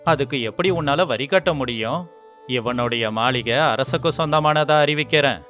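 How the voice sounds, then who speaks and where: A man playing a shrewd scholar on the throne in an animated moral story, commanding and measured, with mock sympathy. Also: medium pitch, soft background music, close to an everyday voice. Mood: stern accusing. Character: shrewd, sarcastic scholar sitting as king, commanding.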